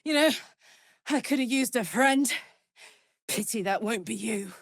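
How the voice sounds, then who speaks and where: A woman's voice: fairly high pitch, measured pace, no echo, clean studio sound. A woman voicing a brash, hot-blooded, big-hearted warrior woman in a video game, with an earthy, blunt, sweary and emotionally open manner. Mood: bitter, subdued. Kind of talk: video game character voice lines.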